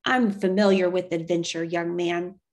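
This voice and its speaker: A woman voicing a stubborn, self-assured older gentleman passenger in a storybook, with a stuffy manner. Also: fairly low pitch, fairly even intonation, normal speed, natural voice.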